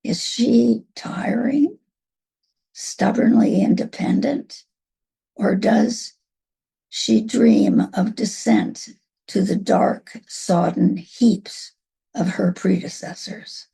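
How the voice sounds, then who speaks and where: An older woman, over sixty, reads a poem in a measured way with pauses between short phrases, her voice husky and gravelly. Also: medium pitch, mellow. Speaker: writer reading own work. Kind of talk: slow literary reading of a poem.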